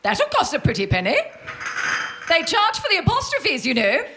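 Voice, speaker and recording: A woman's voice: very high-pitched, huge pitch swings, moderate pace, noisy background, full character voice. A woman playing a blunt, penny-pinching middle-aged wife, sardonic and indignant, in a live radio play. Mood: dry, sardonic. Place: theatre stage before an audience.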